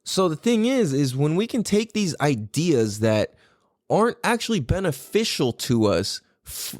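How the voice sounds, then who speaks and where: A male podcast host talks in a loose, rambling, conversational style. His voice sits a little high for a man and moves around a lot in pitch, giving an animated, opinionated delivery. The sound is very dry, with no room echo. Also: unhurried. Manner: animated, rambling, frequently swearing. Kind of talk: casual opinionated podcast talk.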